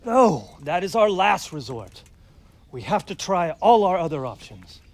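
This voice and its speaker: Game role: proud, defiant medieval lord, husky and creaky, highly animated. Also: male voice, a little slow, exaggerated voice. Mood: resolute, urgent. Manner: forceful, impassioned. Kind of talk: dramatic video game acting.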